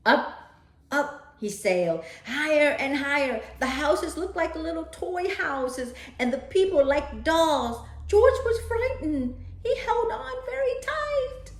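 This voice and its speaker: Female children's storyteller, unhurried, very animated pitch, simple direct address, roomy sound. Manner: simple, direct phrasing aimed at young children. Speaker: host of a children's read-aloud show. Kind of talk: expressive storybook read-aloud and talk addressed to children.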